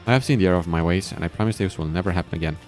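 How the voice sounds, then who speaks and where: Man reading as high school boy, deep voice, evasive and wry, visual novel dialogue, music behind. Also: very fast, a little mellow, close to an everyday voice. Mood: over-polite. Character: evasive male high school student, politely sarcastic. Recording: background music.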